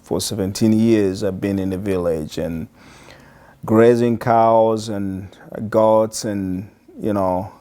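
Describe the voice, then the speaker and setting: A man from Tanzania in his forties, a pastor telling his life story in an interview, with many pauses and fillers. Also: fairly low pitch, dark tone.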